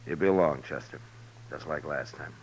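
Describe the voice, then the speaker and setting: An actor playing a tough, steady American frontier marshal in a 1950s radio western, speaking tersely and with understatement. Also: male voice, extremely low pitch, moderate pace, vocal fry. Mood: grimly calm. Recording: muffled, hissy old radio recording.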